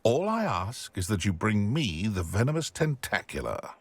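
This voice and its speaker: An actor playing a scheming, gleeful middle-aged shopkeeper in a video game, with a theatrical, relishing delivery. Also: male voice, fairly low pitch, very animated, normal speed, dry recording. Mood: conspiratorial. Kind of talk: video game dialogue acting.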